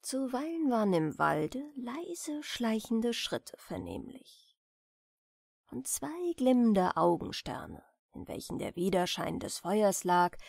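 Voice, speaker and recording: A woman narrates a bedtime story softly and gently, taking her time with every phrase. Her pitch moves with lively expression and often settles into a creaky edge. The delivery is measured storytelling, meant for falling asleep. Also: no echo, studio quality. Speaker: audiobook reader of bedtime stories.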